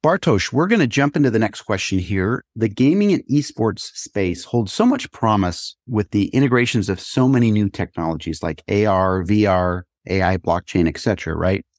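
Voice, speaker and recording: A man's voice: on the low side, expressive, normal speed, hardly any pauses, no echo, studio quality. A man hosting a business podcast, reading guest introductions and asking questions in an upbeat, friendly manner. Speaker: podcast host. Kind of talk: interview hosting.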